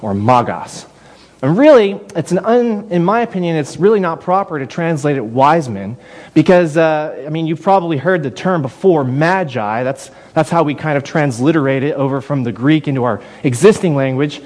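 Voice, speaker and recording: Male preacher with a fairly high voice, lively and chatty, restarts his phrases as he teaches. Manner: conversational, repeats words and restarts often. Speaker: pastor. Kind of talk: sermon.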